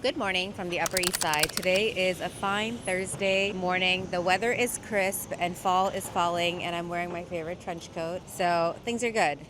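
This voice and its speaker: A woman vlogging her busy week in an upbeat, chatty way, speaking straight to her viewers. Her voice sits a little low for a woman. Music plays softly underneath. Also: fairly even intonation, moderate pace, hardly any pauses. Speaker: vlogger, cardiologist. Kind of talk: casual vlog narration.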